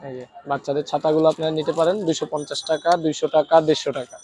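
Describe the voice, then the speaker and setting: A Bangladeshi man with a high voice sells goods in a casual way. He speaks quickly in short, repeated phrases, pointing out items and their prices to viewers. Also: level tone, a little mellow, slight background noise. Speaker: shop seller. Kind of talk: casual sales talk.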